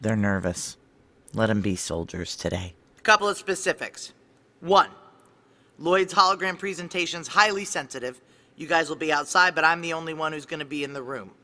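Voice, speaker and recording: A blunt low-voiced female security chief. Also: animated, moderate pace, pushed and bright. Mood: wry, weary. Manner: blunt, wry, clipped. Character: tough, profane, sardonic female military security chief. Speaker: lead actor and narrator. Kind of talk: noir audio drama narration and dialogue.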